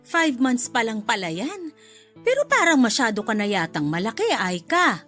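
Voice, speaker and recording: A woman's voice: a little high, big pitch swings, normal speed, fairly bright tone, faint music behind. A woman with a Filipino accent playing a chatty, blunt middle-aged mother-in-law in a radio drama. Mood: blunt, critical.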